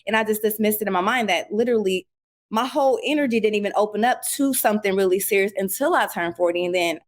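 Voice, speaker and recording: A woman in her forties talks through her life as a podcast guest, in a middle-pitched everyday voice. She chats loosely, full of "like" fillers, and livens her stories by acting out what people said to her. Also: quick pace. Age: 40s. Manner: animated storyteller acting out quoted remarks, many "like" fillers. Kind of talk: casual conversational interview.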